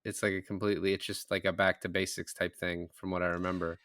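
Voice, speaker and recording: Male co-host, lowish bright voice, close dry sound, casual film talk. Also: level tone, fast talker, dry recording. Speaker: podcast co-host.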